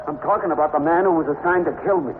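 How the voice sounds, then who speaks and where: A man's voice: a little high, rapid-fire, natural voice. An actor playing a frightened, evasive little man on the run in 1950s radio crime drama, nervous, hesitant and pleading. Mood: anxious, insistent. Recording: muffled, noisy 1950s radio broadcast recording.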